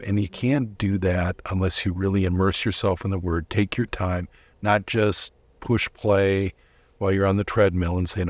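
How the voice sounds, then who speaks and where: A man's voice: low voice, no echo. A man giving earnest, explanatory answers as a guest in a conversational interview. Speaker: interview guest, volunteer Bible reader.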